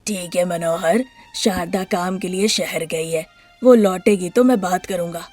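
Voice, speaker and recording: A woman voicing a stern older male head of a family in a story, speaking slowly and deliberately, with music behind. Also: on the low side, a little mellow. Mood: measured. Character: gruff older family patriarch, stern and measured.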